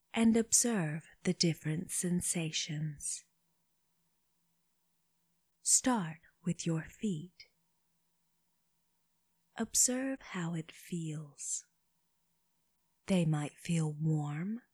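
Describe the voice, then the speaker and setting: Very slow, breathy woman guiding meditation. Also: lowish voice, no echo. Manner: gentle, unhurried, short phrases with long pauses. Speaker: meditation guide for children. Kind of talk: slow guided body scan meditation.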